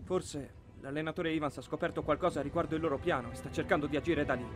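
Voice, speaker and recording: An earnest, determined anime captain , acted by a man. He sounds serious and resolute in a lightish high male pitch, over background music. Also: fairly even intonation, quick pace, close to an everyday voice. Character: earnest, determined teenage boy team captain. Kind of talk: anime fan dub acting.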